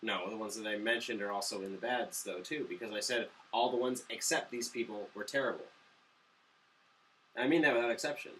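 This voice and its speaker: A man's voice: fairly low pitch, brisk, fairly bright tone, recorded from a distance, a little hiss or hum, rough recording. A man co-hosting a casual, opinionated movie review with blunt, argumentative banter, recorded a little away from the mic. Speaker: movie review show co-host.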